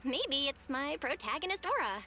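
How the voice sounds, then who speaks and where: A woman's voice: high voice, sing-song, normal speed, sharp tone, a little hiss or hum, full character voice. A woman voicing an earnest, friendly young protagonist in an anime game. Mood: thoughtful.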